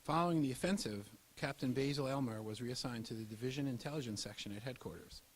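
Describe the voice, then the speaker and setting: A man narrating a scripted historical account in a formal, measured manner. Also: mid-range voice, low-quality recording.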